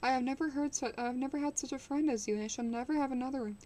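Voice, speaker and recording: A woman voicing a devoted painter who pleads with his friend, reading quickly in her own voice. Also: sits high, a crowd in the background, natural voice. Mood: pleading.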